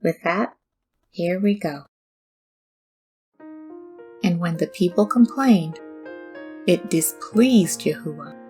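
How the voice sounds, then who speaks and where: A woman hosting a devotional scripture reading, speaking in a reverent, deliberate and formal manner. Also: lowish voice, mellow. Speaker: host and scripture reader. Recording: music bed under the voice. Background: background music.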